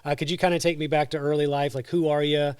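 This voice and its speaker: Fast-talking man hosting a podcast. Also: a little high, level tone, clear, resonant tone, no echo. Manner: eager, rambling, quick. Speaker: podcast host. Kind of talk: podcast interview. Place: studio couch.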